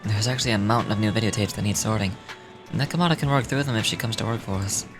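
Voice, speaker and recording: A woman voicing a calm, wry young male video store manager, reading game dialogue in a measured way. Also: rumbling low, voice pushed down. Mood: businesslike. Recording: music behind the voice. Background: game music.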